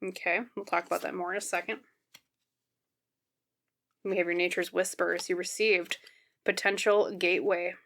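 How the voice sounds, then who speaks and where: A woman reads tarot for her viewers in a fairly low, slightly scratchy voice. She talks casually and explains things gently, often checking in with the listener as she goes. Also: level tone, normal speed, gravelly, pauses often. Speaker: tarot reader, host. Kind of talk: casual, conversational tarot card reading to viewers.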